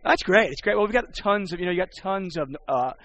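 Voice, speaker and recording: A man's voice: high voice, animated, brisk, fairly bright tone, slight background noise. A man who works as a poker and sports broadcaster, chatting casually on a podcast and rambling with many restarts.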